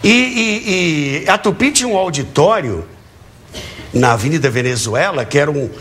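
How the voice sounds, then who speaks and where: A man's voice: on the high side, lively intonation, moderate pace, a bit bright, a little hiss or hum. A man, eagerly telling anecdotes as an interview guest, repeating words and asking rhetorical questions. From Brazil, Rio de Janeiro. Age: early 70s. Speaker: talk show guest, author of a book on radio theatre. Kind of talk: animated anecdotal storytelling in an interview. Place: TV talk show studio with audience.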